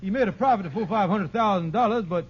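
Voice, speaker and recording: Muffled noisy old radio broadcast, man playing a glib wheedling con artist, high voice, folksy stalling patter, sitcom style. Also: quick pace, lightly acted. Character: fast-talking male schemer, glib, wheedling, bumbling con artist. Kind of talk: 1950s radio sitcom acting.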